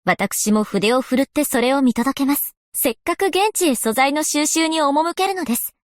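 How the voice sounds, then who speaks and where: A woman's voice: sits high, lively intonation, normal speed, no room sound, studio quality. A woman voicing a polite, scholarly young historian in a game, speaking with formal, refined courtesy. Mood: composed, dutiful. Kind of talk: scripted game character voice lines.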